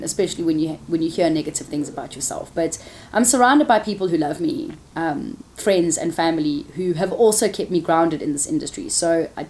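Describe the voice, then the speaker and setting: A woman's voice: low voice, a little mellow, slight background noise. A woman, a TV presenter answering interview questions frankly, with laughing asides. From South Africa.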